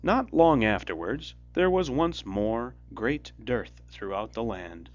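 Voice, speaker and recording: A man narrating a fairy tale as a storyteller, in a measured, expressive storybook delivery. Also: medium pitch, no room sound, lo-fi sound. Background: music.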